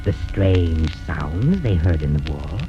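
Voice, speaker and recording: An actor playing a gleefully sinister storyteller in 1930s radio horror, with a theatrical, drawn-out delivery that relishes the macabre. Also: male voice, rumbling low, expressive, dull audio, music in the background, exaggerated voice. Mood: hushed, ominous. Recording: old band-limited 1930s radio recording.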